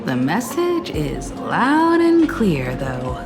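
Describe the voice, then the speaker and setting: A woman's voice: on the low side, wildly swooping pitch, slow, music in the background, exaggerated voice. A woman voicing a teasing young trickster in a video game, with a playful drawl. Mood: amused.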